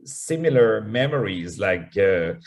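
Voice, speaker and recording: A man from France with a French accent, talking as a guest on a radio interview, effusive and full of quick restarts. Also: lowish voice, expressive.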